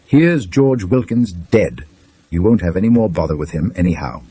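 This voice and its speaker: A man with a British accent voicing a mature, companionable, mildly flippant gentleman in a storybook tale, in a breezy conversational way. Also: sits low, lively intonation, moderate pace, breathy edge. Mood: casual, wry.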